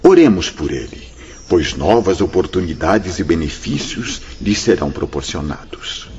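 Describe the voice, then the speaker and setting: A Brazilian actor playing a kindly, paternal spirit director in a radio drama, speaking formally and solemnly with gentle reassurance. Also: male voice, low-pitched, big pitch swings, moderate pace. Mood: gentle, reassuring. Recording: dull radio drama sound with music.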